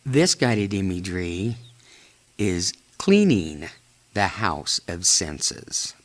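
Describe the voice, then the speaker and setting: A man narrating a guided imagery meditation in short, measured phrases with long pauses between them. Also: sits low, sing-song.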